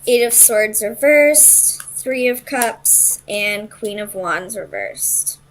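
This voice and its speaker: A woman's voice: medium pitch, animated, slow, poor audio. A woman doing an informal tarot reading, speaking straight to the listener and thinking aloud in loose, tentative phrases. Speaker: tarot reader and host.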